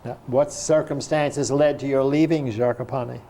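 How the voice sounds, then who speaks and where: A male interviewer asks calm, prompting questions. His voice is mellow and warm in tone. A faint hiss sits under the recording. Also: mid-range voice, normal speed.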